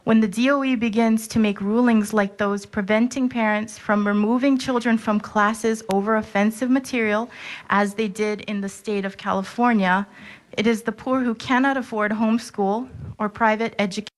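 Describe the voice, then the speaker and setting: A woman in her thirties from Hawaii giving formal public testimony, reading a prepared statement in a steady, earnest way. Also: a little high, moderate pace, low-quality recording. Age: 30s.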